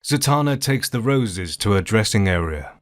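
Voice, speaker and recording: A man's voice: fairly low pitch, expressive, moderate pace, lightly acted. A man giving scripted narration for an audio drama as its narrator.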